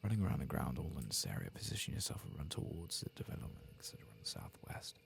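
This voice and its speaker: Male ASMR game streamer: deep, heavily creaky, hushed near-whisper commentary over clearly noisy ambience. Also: sing-song, fast talker. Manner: hushed, often drifting into whisper. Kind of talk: soft-spoken ASMR gameplay commentary.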